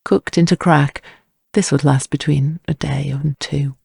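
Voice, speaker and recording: Creaky-voiced male drama narrator. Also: on the high side, fairly even intonation, unhurried, a little mellow, dry recording. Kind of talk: scripted audio drama narration.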